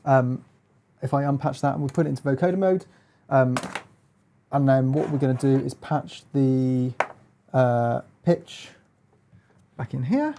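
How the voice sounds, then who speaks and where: A man giving a casual hands-on demonstration as a gear reviewer, explaining in a halting, hesitant way. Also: medium pitch, background noise.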